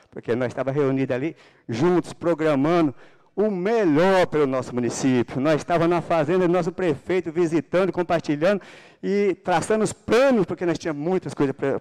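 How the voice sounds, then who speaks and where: A man's voice: a little high, a little mellow, clear, resonant tone. A middle-aged man from Paraná, Brazil, gives a political speech to a council chamber, holding a handheld microphone. He talks with religious fervor and builds to emphatic bursts. The sound comes from a large indoor hall. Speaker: city councilman.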